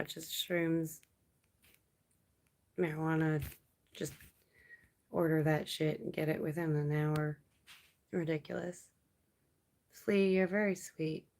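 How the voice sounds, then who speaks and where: Young woman from Canada, livestream host, slow unhurried delivery, laid-back and rambling. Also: deep voice, creaky. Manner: relaxed, rambling, laughs often. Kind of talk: casual late-night chat with viewers.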